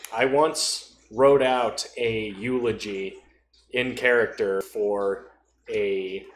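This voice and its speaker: A man speaks conversationally, like a gamer on a hobby podcast. His pitch is middling for a man. The recording is noticeably roomy, with faint noise underneath. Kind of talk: casual conversation about tabletop role-playing.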